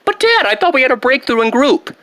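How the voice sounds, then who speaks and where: A man's voice: very high-pitched, big pitch swings, a little fast, a bit bright, voice pushed up, full character voice. A man voicing a whiny, sullen teenage son of a villain in a comic film impression. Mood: exasperated. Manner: whiny, complaining.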